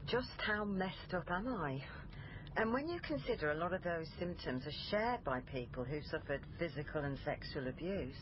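An actress playing an observant, sober police family liaison officer in a radio crime drama. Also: female voice, fairly low pitch, lively intonation, normal speed, highs cut off, noisy background, natural voice. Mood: thoughtful. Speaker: lead actress and first-person narrator. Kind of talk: radio crime drama, intimate narration and dialogue.

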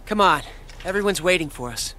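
An actor playing a boyish young game hero, earnest, dependable and modest. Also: male voice, high-pitched, lively intonation, brisk, sharp tone, a little hiss or hum. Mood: casual. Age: young adult.

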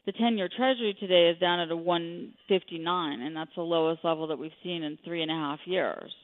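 A woman's voice: lowish voice, brisk. A woman speaking as an expert guest in a radio interview, giving commentary on the economy. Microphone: telephone. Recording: narrow, tinny phone line.

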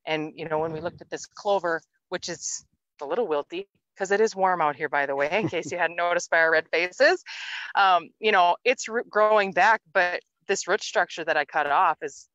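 A woman's voice: on the low side, normal speed, fairly bright tone, no echo, dull audio. A woman hosting an outdoor farm interview, upbeat and summing up her guest's answers. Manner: upbeat, leading questions with recaps. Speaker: host, soil health interviewer.

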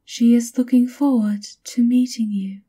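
A woman playing a subservient, coolly formal maid of a vampire countess in a whispered ASMR roleplay, speaking softly and politely with measured, breathy words. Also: a little high, steady tone. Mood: politely servile.

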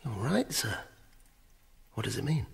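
An actor playing an earnest, deferential police constable in a radio drama. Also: male voice, mid-range voice, very animated, a little slow, gravelly.

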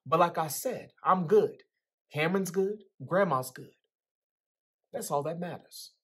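A man's voice: on the high side, unhurried, a bit bright, slightly rough. A young man with an American accent playing a guarded, streetwise teenage boy in a dramatic book reading. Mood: guarded, offhand. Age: young adult. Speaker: actor reading a character's dialogue. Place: indoors in a small plain room. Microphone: video call, close to computer.

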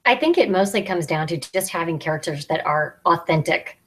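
A woman's voice: fairly low pitch, lively intonation, fairly quick. A woman in her thirties explaining her view as a podcast panelist on a video call. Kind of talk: explanatory podcast talk on writing. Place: plain room, indoors, on a video call.

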